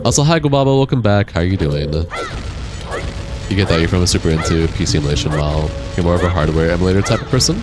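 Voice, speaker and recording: A young man with a deep voice, casually streaming a game and chatting with viewers in a laid-back, rambling way. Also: lively intonation, fast talker. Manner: laid-back, rambling, reads and answers chat. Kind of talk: casual live-stream chat while gaming. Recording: game music playing behind.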